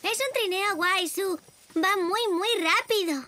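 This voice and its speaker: A confident little girl zebra from a children's cartoon. Her voice is very high and bright, sassy and competitive, at an easy pace. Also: slow pace, heavily acted.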